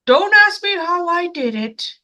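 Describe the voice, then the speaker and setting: A woman voicing a knowing, chiding man from a children's story, with deliberate, admonishing delivery. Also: very high-pitched, animated, pushed and bright, voice pushed up, full character voice. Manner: deliberate, admonishing, stretched-out words.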